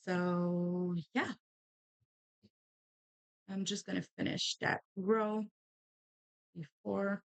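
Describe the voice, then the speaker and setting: A woman's voice: mid-range voice, flat delivery, drawn-out words, gravelly, long pauses, clean tone, no room sound. A young woman from Quebec with a Quebec French accent, a native French speaker chatting casually and rambling with many restarts while she crafts. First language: Canadian French. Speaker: crafting channel host.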